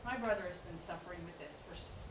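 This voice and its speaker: A woman's voice: on the low side, fast talker, natural voice. A woman playing an exasperated, confrontational adult daughter, protective of her brother, in a staged drama reading. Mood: angry, hurt. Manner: confrontational, incredulous, challenging. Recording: echoey room, distant mic, background noise.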